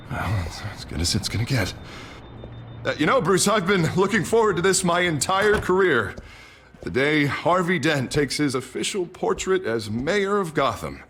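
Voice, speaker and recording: A man's voice: a little high, big pitch swings, moderate pace, fairly bright tone, slight background noise. An actor playing a troubled young male mayor in a video game, swinging between friendly banter and tense, agitated talk. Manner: shifts from friendly to agitated muttering. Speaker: game character.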